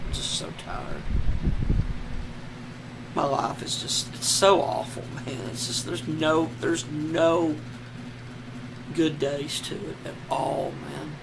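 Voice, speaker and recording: A hoarse man lying on the floor at home, talking slowly and despairingly in halting, trailing sentences. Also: a little high, animated, noisy background. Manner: slow, halting, despairing, many trailing restarts. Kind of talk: casual personal vlog.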